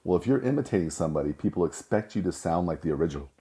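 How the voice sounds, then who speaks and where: A middle-aged man with a low voice, talking casually and rambling with restarts. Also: animated, rapid-fire, warm tone. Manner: rambling, self-correcting storyteller. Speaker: host. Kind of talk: casual monologue.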